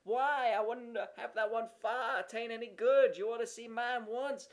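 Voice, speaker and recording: A man reading a story aloud, voicing a boastful young boy with a loud, whiny manner. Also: very high-pitched, steady tone, moderate pace, voice pushed up. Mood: bragging.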